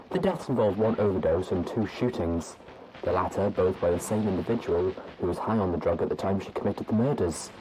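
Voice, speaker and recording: A man narrating a fan fiction audiobook in a measured, formal journal-reading manner. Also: low-pitched, background noise.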